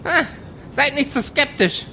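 Goblin played by a woman for a tabletop adventure: grandiose, urgent, slow delivery with big melodic swings. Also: high voice, lively intonation, vocal fry, sharp tone, slight background noise, full character voice. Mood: solemn, lofty. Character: excitable goblin prophesying peace between peoples.

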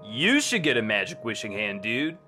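An actor playing a smug, self-satisfied, heartless business bro in a comedy radio play. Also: male voice, medium pitch, wildly swooping pitch, moderate pace, sharp tone, faint music behind. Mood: breezy, evasive.

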